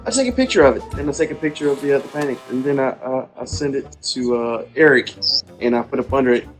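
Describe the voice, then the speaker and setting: A man's voice: medium pitch, fast talker, faint music behind. A man playing a character in a tabletop game, hesitant with many fillers. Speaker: player.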